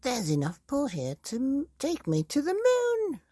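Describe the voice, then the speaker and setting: A woman voicing a silly old wife, high-pitched, breathy and anxious, in a storybook reading. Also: wildly swooping pitch, measured pace, dark tone, no room sound, clean studio sound, heavily acted. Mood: eager.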